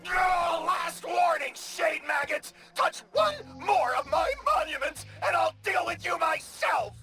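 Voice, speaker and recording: An actor playing a pompous, bellowing villain commander who is easily flustered, in an animated game. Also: male voice, extremely high pitch, slow, gravelly, shouting, music in the background, exaggerated voice. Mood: enraged, threatening. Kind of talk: animated game cutscene acting.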